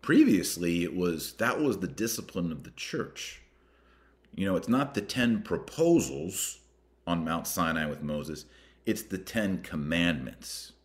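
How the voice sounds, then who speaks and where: An American man hosting a podcast, reading and commenting in an emphatic, deliberate way that stresses key words and names. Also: low-pitched, animated.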